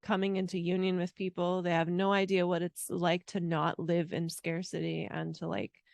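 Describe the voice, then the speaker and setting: A woman's voice: lowish voice, level tone, normal speed, vocal fry, a little mellow, no room sound, studio quality. A woman talking as a guest on a casual podcast, rambling with frequent "like" and "you know". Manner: rambling, filled with "like". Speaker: podcast guest, intuitive mentor. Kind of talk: casual conversation.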